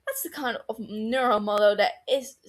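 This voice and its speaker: A woman's voice: a little high, moderate pace, close to an everyday voice. A woman reading as a dry, sarcastic young male doctor.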